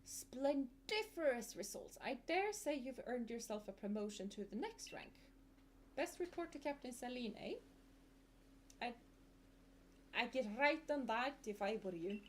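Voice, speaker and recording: A woman voicing an excitable, old-fashioned male professor in a video game, trying a Scottish accent, with theatrical, formal phrasing. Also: a little high, unhurried, slight background noise. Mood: pompous praise. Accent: put-on Scottish attempt.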